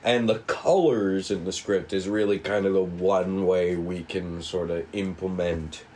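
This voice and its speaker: A man getting over a cold, co-hosting and explaining in a casual, rambling, halting way. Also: sits low, steady tone, a little mellow. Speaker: co-writer and co-host introducing a script table read.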